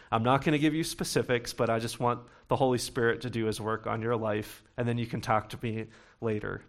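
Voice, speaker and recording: A young male pastor gives a Sunday sermon in a relaxed, conversational way. He keeps punching key words louder for emphasis and then slows right down when he reads a passage aloud. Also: medium pitch. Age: young adult. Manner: conversational preaching with bursts of emphasis, reading scripture slowly.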